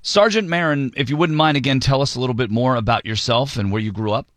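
A man's voice: mid-range voice, quick pace, dry recording. A man hosting a radio interview, asking polite, prompting questions. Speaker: radio host.

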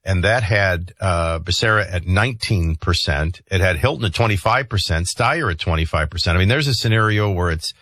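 A man's voice: deep voice, fairly quick, hardly any pauses, fairly bright tone, no room sound, studio quality. A man hosting a morning radio talk show, speaking in a conversational news commentary style.

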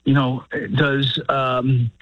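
A man appearing as a guest news anchor, giving measured political analysis and thinking aloud with many fillers. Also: medium pitch, completely flat delivery, no room sound, highs cut off. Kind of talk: political analysis on talk radio.

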